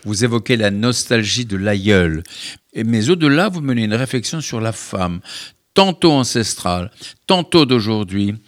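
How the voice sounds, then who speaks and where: A man's voice: on the low side, sing-song, moderate pace. A man hosting a radio interview, asking probing questions and murmuring encouragement while his guest speaks. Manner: attentive, many murmured "mhm" backchannels, probing questions. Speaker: radio host.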